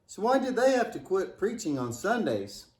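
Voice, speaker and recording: A man's voice: high voice, very animated, moderate pace. A man playing a cheeky, wisecracking, imaginative boy puppet in a scripted church puppet show, joking and exclaiming playfully. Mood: curious, joking. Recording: echoey room.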